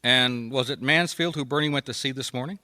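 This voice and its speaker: An actor playing a dogged, skeptical private detective in a 1950s radio drama, wry and colloquial. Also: male voice, mid-range voice, fairly quick, pushed and bright, no room sound, clean studio sound. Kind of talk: radio detective drama acting, with first-person narration.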